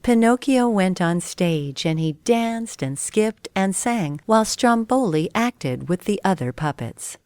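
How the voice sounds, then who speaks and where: A woman's voice: mid-range voice, animated, unhurried, hardly any pauses, a little mellow, no room sound. A woman reading a scripted children's story as a storyteller.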